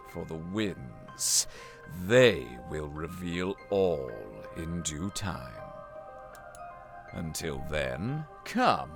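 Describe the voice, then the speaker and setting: An actor playing a sly, theatrical wind spirit in a visual novel, with a teasing, languid, dramatic delivery. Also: male voice, rumbling low, creaky, exaggerated voice. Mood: grandly foreboding. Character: sly, theatrical male wind spirit, amused elder brother. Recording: music behind the voice. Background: game music.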